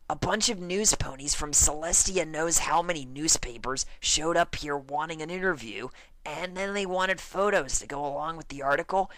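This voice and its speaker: A man's voice: a little high, fairly bright tone, no echo, pitched above the performer's own voice, lightly acted, low-quality recording. A man voicing a brash, pleading young female pegasus fighter pilot in a dramatic fan fiction reading. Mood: apologetic, urgent.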